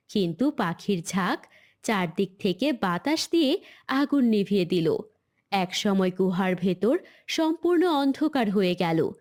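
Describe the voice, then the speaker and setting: A woman's voice: medium pitch, darkish tone. A woman reading dramatic scripted narration for a cartoon story.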